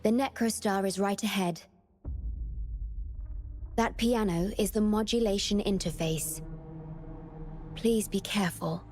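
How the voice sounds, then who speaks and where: A woman's voice: mid-range voice, measured pace, long pauses, a little mellow, faint music behind, lightly acted. A woman playing a composed, devoted guide in a video game, speaking formally and carefully. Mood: grave, composed. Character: composed, devoted woman guiding a companion on a mission.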